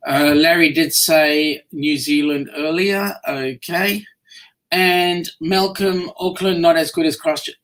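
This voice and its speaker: A man hosting a casual live stream, reading viewer comments in a blended South African and Australian accent, relaxed and bantering with frequent fillers. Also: a little high, takes it slowly. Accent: South African and Australian mix. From part South African.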